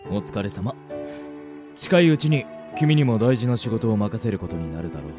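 A dignified senior commander, acted by a man in radio-drama style. The voice is fairly low, with marked swells in intonation and a formal, stern authority. Music plays clearly behind. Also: big pitch swings, normal speed. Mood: solemn, authoritative. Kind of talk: amateur radio drama acting.

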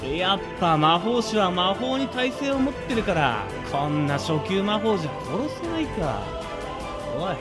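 A man plays a brutal bandit who wields fire in a radio drama. He taunts in rough words, high-voiced and swooping in pitch. Music is clearly audible underneath. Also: big pitch swings, a little slow. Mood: callous, taunting. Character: gleeful, brutal male bandit fire mage. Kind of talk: amateur radio drama acting.

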